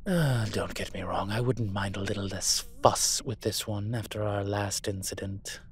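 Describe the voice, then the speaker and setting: A man playing a suave, teasing demon professor in an audio roleplay, with a wry, conversational delivery and a husky voice. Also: on the low side, moderate pace, natural voice. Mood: wry, musing. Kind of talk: intimate audio roleplay acting.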